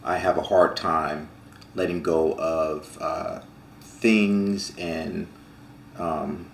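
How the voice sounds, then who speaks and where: A man co-hosting a casual farm chat who speaks in a reflective, measured way with fillers. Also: sits low, steady tone, creaky, slight background noise. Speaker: farm vlog co-host. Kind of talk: casual conversation. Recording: echoey room.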